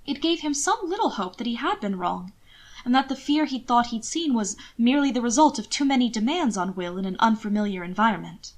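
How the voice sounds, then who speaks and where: A woman narrating scripted fiction, reading a story aloud. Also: on the high side.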